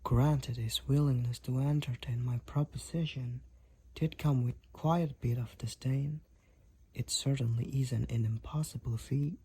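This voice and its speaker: A man voicing a devoted, overprotective vampire boyfriend in an intimate audio roleplay, with courtly, archaic, tender phrasing and a breathy, husky voice. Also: medium pitch, fairly even intonation, dark tone, slight background noise, lightly acted. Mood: earnest, tender. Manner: archaic, formal, tender, slow and deliberate wording.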